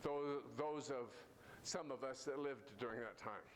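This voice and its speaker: A man's voice: medium pitch, measured pace, crowd noise. A man speaking as a live storyteller, folksy and emphatic, often correcting himself. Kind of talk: live storytelling with audience quiz. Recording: noisy live event recording.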